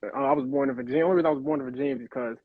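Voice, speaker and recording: A man's voice: on the high side, big pitch swings, rapid-fire, creaky, dry recording. A young man from Chicago, an actor guest in a casual interview, talking in a laid-back, rambling way full of fillers over a video call. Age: young adult. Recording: tinny phone-like line.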